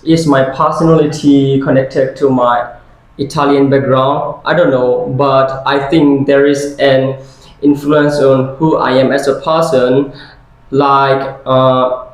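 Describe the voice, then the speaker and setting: A man in his twenties from Brazil, a Portuguese speaker being interviewed about his identity, talking slowly and thoughtfully. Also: mid-range voice, little pitch movement. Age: 20s. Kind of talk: scripted interview role-play. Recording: very echoey room.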